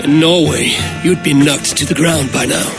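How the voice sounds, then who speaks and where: An actor playing a blunt, self-assured Viking warrior in a video game drama. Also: male voice, on the high side, normal speed, gravelly, over music. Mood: firm.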